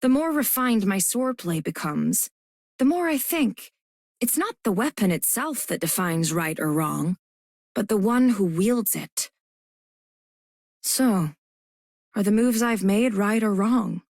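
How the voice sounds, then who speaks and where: A woman's voice: mid-range voice, animated, slow pace, pauses often, darkish tone, no room sound, studio quality. A woman voicing a serious, self-questioning swordswoman in scripted video game lines. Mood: pensive.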